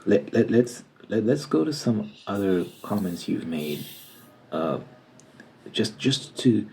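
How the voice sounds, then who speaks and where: A man playing a stern, formal TV news anchor in an ASMR roleplay, speaking softly and half-whispered with a stiff, stammering interviewer manner. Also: lowish voice, big pitch swings, takes it slowly, creaky, slight background noise, full character voice. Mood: formal, probing. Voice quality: soft-spoken, half-whispered.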